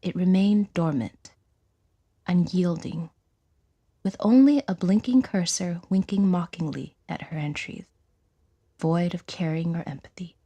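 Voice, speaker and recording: Breathy, low-pitched woman narrating fiction. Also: measured pace, dark tone. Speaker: audiodrama narrator. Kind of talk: scripted dramatic fiction narration.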